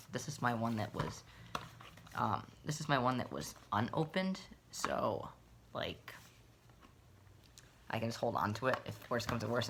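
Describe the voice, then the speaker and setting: A man's voice: mid-range voice, creaky, fairly bright tone, slight background noise. A young man in his twenties rambling casually to a webcam in a small bedroom, with many fillers and restarts. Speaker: vlogger. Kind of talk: casual personal vlog.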